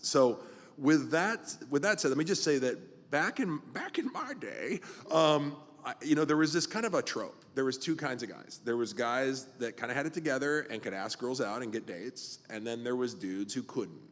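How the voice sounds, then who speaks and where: Rambling fifty-something pastor hosting. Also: male voice, mid-range voice, lively intonation, moderate pace, long reverb tail. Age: 50s. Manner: rambling, loose, lots of fillers. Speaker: pastor and podcast host. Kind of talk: casual podcast conversation.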